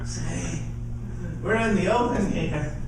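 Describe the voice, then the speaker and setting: A man playing a paranoid, excitable vagrant who survived an alien invasion, shouting his way through a live radio play. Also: high-pitched, slow pace, vocal fry, heavily acted. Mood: wary, agitated. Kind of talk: live radio theater acting. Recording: very echoey live stage, distant mic, music.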